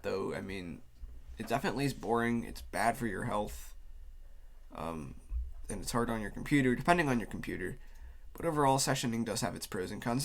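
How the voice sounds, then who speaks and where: A man hosts an unscripted video in a casual, rambling way. His voice sits at a middling pitch and carries the tiredness of someone with a cold. He explains things loosely, qualifying his points as he goes. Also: gravelly. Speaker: YouTube host.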